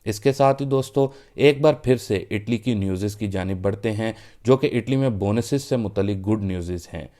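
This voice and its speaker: A man's voice: on the low side, fairly quick, few pauses, mellow. A man presenting a news roundup for his online channel, reading the updates steadily and addressing viewers as friends. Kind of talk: read news update in Urdu.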